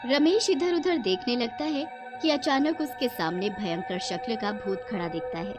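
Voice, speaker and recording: A woman's voice: a little high, moderate pace. A woman narrating a scripted cartoon story, with music playing behind her.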